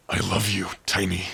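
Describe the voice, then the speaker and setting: Man playing a deep, slow, tender orc lover. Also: gravelly, breathy edge, exaggerated voice. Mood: devoted. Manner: hesitant, halting, soft-spoken, intimate. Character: big tender, bashful male orc in love. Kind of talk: ASMR romantic fantasy roleplay.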